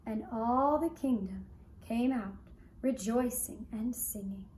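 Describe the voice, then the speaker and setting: A woman with a high voice narrates a children's story for a puppet show in an echoey room. Her delivery is extremely slow and gentle. She sets each phrase down deliberately, leaving space after it. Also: slight background noise. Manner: measured, deliberate phrasing with pauses. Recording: roomy, echoing indoor sound.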